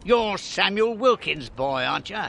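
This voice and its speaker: An actor playing a cold, grumpy old English businessman in a radio drama, curt and sneering, with a creaky voice. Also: high voice, animated, normal speed, pushed and bright, a little hiss or hum, full character voice. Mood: stern, demanding. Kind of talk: family radio drama acting.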